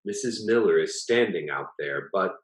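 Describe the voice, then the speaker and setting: Guy reading as teasing husband in a ghost story, earnest n insistent, deep voice, echoey room. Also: lively intonation, normal speed. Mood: uneasy. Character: teasing husband given to spooky pranks.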